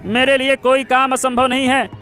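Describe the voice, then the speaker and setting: A man's voice: very high-pitched, level tone, normal speed, sharp tone, faint music behind. A man voicing a scheming, self-important chief minister in a cartoon story, menacing and drawing out his words. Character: scheming, threatening royal chief minister, sly villain. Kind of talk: Hindi cartoon story voice acting.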